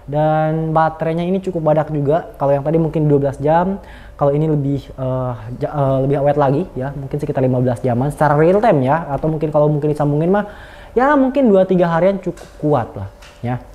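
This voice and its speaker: A man's voice: fairly high pitch, a little fast, mellow, lo-fi sound. A man, giving an informal, slangy, unscripted product review in a chatty way.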